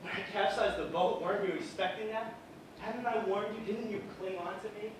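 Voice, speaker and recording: A man's voice: a little high, fast, fairly bright tone, natural voice. An actor playing a resentful, guilt-ridden man who is tense and paranoid. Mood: accusing. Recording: very echoey, distant mic, clear background noise.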